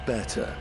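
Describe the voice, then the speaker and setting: An actor playing a blunt, aloof knight in a game cutscene, with a dry, terse manner. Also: male voice. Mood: wry. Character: blunt, aloof adult male knight who scorns formality. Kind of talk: video game cutscene acting. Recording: dramatic music behind the voice. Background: game music.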